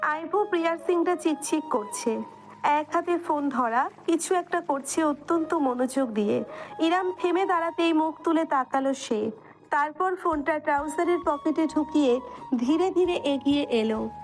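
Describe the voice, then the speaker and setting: A woman's voice: high-pitched, moderate pace, faint music behind, rough recording. A woman reading scripted dramatic narration as the storyteller of an audio story.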